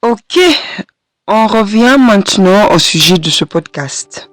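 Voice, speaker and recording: A woman in her thirties hosting a solo podcast from a small studio, hoarse from a cold, speaking gently and encouragingly with hesitant pauses. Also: medium pitch, no room sound. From Kenya. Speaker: podcast host and French teacher. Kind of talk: solo podcast monologue addressing the listener. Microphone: studio microphone.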